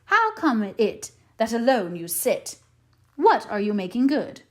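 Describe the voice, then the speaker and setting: A woman's voice: fairly high pitch, sing-song, measured pace. A woman voicing a courteous, inquisitive male wolf suitor in a storybook tale. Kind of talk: storybook read-aloud character voice.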